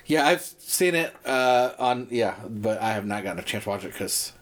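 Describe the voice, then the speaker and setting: A man's voice: fairly low pitch, animated, normal speed, fairly bright tone. Man chatting with many restarts. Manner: rambling, many fillers and restarts, swears casually. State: starting to lose his voice. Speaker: podcast co-host. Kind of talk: casual chat.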